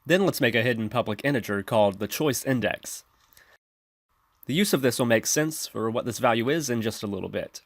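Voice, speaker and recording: A man narrating a software tutorial in an instructional, step-by-step manner. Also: mid-range voice, animated, brisk, no room sound, studio quality. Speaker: tutorial presenter.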